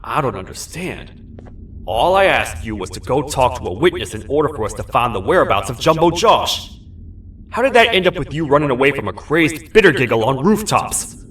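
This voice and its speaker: A man's voice: mid-range voice, sing-song, a little fast. A man playing a bitter, gloomy ex-bodyguard turned bounty hunter in a video game, grumbling and self-deprecating. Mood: exasperated. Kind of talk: video game character acting. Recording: distant, echoey, loud music over voice.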